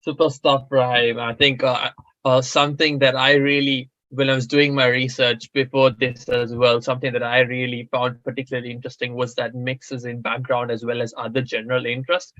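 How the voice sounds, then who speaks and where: A young man hosts a casual podcast chat in a bright, forceful voice that sits at an ordinary pitch. The sound is dry and a little dull. He stumbles over fillers and restarts as he introduces his guests. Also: little pitch movement, a little fast, no room sound. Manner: hesitant, many fillers and restarts. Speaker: podcast host.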